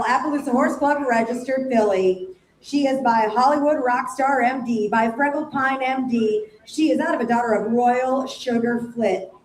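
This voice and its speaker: A woman's voice: a little high, a little mellow, reverberant, a little hiss or hum, rough recording. A woman announcing each animal at a livestock auction, reading its age, breeding and training plainly. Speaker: sale ring announcer. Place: stockyard sale barn.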